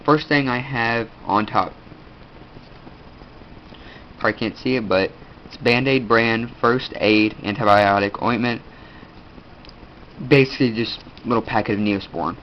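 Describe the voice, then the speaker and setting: A teenage boy with a stuffed-up nose, casually showing his kit and rambling with lots of fillers. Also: mid-range voice. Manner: rambling, halting, many fillers. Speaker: video host. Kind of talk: casual gear show-and-tell. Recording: dull lo-fi audio, faint room noise.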